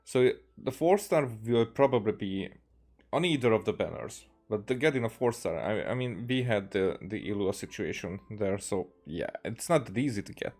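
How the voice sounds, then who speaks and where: Guy with a lowish voice streaming a game, casual halting chatter, music playing in the background. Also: expressive, a little mellow. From Hungary. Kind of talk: casual gameplay commentary.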